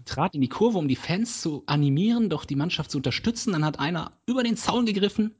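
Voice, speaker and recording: A man's voice: high-pitched, expressive, fast, husky. A man co-hosting a casual chat podcast who tells jokey anecdotes about football mascots. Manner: joking, storytelling. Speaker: podcast co-host.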